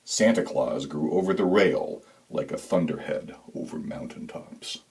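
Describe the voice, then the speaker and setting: A man giving a dramatic, deliberate reading of a scripted story as narrator, with suspenseful, expressive emphasis. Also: very deep voice, vocal fry. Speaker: author reading own story.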